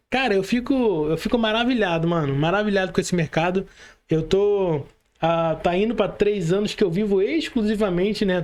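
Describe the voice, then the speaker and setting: A man's voice: high-pitched, a little mellow. A man with a Brazilian Portuguese accent hosting a casual podcast interview, speaking informally with slang and frequent tag questions seeking agreement. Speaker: podcast host.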